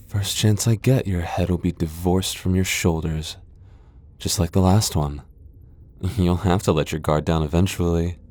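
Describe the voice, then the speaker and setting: A man's voice: low voice, darkish tone. A man playing a haughty, centuries-old vampire lord in a fantasy ASMR roleplay, sardonic, taunting and theatrical. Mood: menacing, teasing.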